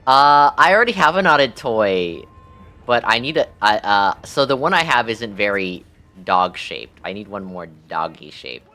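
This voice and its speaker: A man streaming a game talks to his viewers in loose slang. His voice sits fairly low and cuts through brightly. He is crude and jokey, and tends to repeat himself as he chats. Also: sing-song, unhurried, faint music behind. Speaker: livestreamer playing a game. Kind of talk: casual chat with stream viewers.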